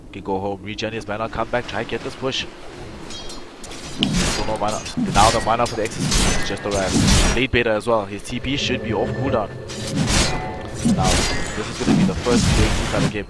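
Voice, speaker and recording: A man's voice: on the low side, steady tone, fairly quick, over loud music. A man with a stuffy, nasal voice from a cold, giving rambling live play-by-play commentary on an esports match. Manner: rambling, self-correcting analysis. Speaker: game caster.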